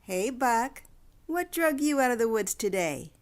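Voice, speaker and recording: A woman's voice: a little high, big pitch swings, measured pace. A woman playing a chatty, nosy general store owner in a radio drama. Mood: friendly, nosy.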